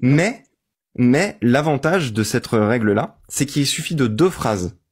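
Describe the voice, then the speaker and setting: A man's voice: medium pitch, lively intonation, slow pace, husky. A man in an online voice-chat debate, laying out his argument step by step and asking for agreement. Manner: methodical, argues step by step, seeks agreement. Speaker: debate host.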